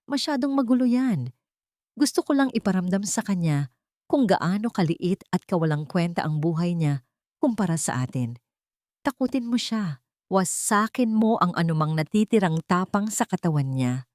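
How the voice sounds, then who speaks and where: A woman voicing a cold, controlling rich matriarch villain in an audio drama, with a Filipino accent and a haughty, deliberate, threatening delivery. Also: on the low side, a little mellow, dry recording, studio quality, natural voice.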